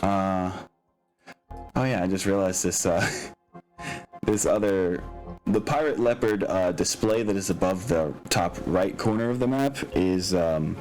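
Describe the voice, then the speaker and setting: A man's voice: low-pitched, unhurried, darkish tone, low-quality recording. A man acting as game master, explaining tabletop mechanics casually and thinking aloud with some hesitation. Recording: music playing behind. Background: background music.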